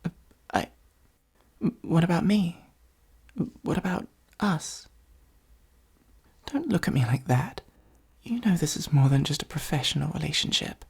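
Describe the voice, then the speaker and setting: A woman acts out a possessive supervillain with a soft spot for their nemesis in an ASMR roleplay. The voice is low and very breathy, often close to a whisper, with some gravelly creak. The delivery is intimate, hesitant and earnest, with a dry, wry edge. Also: sing-song, measured pace, long pauses, heavily acted. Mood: hurt, pleading. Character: possessive, dramatic supervillain secretly fond of their hero nemesis.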